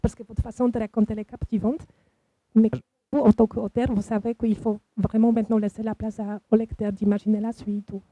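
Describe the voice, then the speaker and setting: A woman's voice: on the high side, level tone, fairly quick, dark tone, dry recording, highs cut off. A woman in the audience politely asking the author a series of orderly questions. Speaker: audience member.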